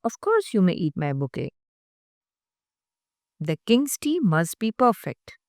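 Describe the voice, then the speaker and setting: Casting: genial, fussy king voiced by a woman, exclamatory and courtly, animated, dry storybook read. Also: lowish voice, takes it slowly, no room sound, exaggerated voice. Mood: pleased.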